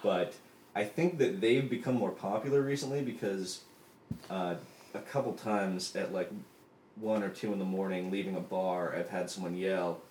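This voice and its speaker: Comedy-podcast co-host, man, very low voice, dry jokey delivery, roomy sound. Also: steady tone, normal speed, a little mellow, slight background noise. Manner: dry, joking storyteller. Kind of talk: casual comedy chat.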